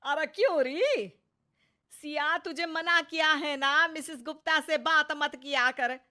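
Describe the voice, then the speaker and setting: A woman's voice: high voice, slow pace, pushed and bright, voice pushed up, full character voice. A woman voicing a scolding, sarcastic mother-in-law in her sixties for an acted moral story. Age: 60s. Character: scolding, nagging sixty-year-old Indian mother-in-law.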